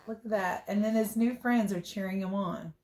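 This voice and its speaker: A woman reading a picture book aloud as a teacher would, expressive and playful, with chatty asides about the pictures. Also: mid-range voice, moderate pace. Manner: playful, chatty, commenting on the pictures as she goes. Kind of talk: storybook read-aloud with casual asides.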